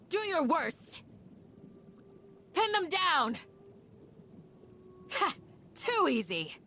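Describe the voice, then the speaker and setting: A woman voicing a calm, protective young guardian of a desert village in a video game, gentle and earnest. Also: high voice, a little hiss or hum, exaggerated voice. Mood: fierce battle cries. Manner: gentle, earnest, composed in talk. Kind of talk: video game character voice lines.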